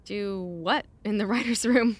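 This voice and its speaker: An actress playing a blunt, exasperated but caring personal assistant in naturalistic audio drama, wry and no-nonsense. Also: female voice, mid-range voice, unhurried, no echo, close to an everyday voice. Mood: incredulous. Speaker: actor.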